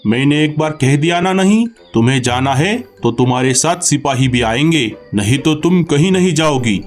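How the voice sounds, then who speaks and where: A man's voice: on the high side, animated, normal speed, soft background music, lightly acted. A man voicing a protective bird king and father, firm and commanding, in a children's cartoon. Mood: stern. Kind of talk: Hindi children's cartoon dialogue.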